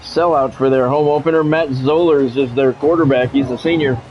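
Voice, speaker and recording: A man's voice: mid-range voice, steady tone, moderate pace, darkish tone, faint music behind. An American man chatting casually and doing sports commentary as a game streamer, informal and wry with a self-deprecating streak. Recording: dull, lo-fi microphone sound.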